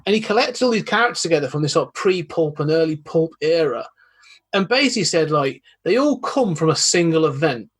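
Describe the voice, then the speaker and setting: A male podcast guest with a slightly raised pitch and a husky edge to his voice. He chats easily and explains ideas at length, restarting phrases as he goes. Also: animated, brisk. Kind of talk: casual chat.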